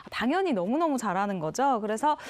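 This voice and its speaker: Young Gyeongsang woman, lively tone. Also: fairly high pitch, fairly quick. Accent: Gyeongsang Korean. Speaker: talk show panelist. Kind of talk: explanatory studio talk. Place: TV studio.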